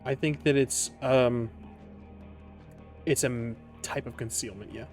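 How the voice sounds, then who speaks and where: A man acting as game master, narrating a tabletop roleplaying game in a measured, descriptive way with pauses. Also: medium pitch, steady tone, a little mellow. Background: music under the voice.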